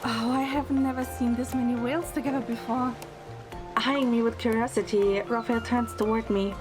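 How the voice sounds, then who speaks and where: A woman's voice: a little high, fairly even intonation, normal speed, natural voice. A woman playing a playful, teasing young heroine who narrates her story in an audio drama, in the first person. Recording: music under the voice. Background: background music.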